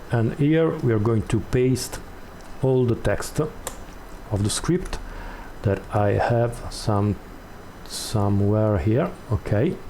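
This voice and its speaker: A man hosting a step-by-step tutorial, explaining off the cuff in a halting way and repeating words as he goes. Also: fairly low pitch, mellow, a little hiss or hum. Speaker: tutorial host.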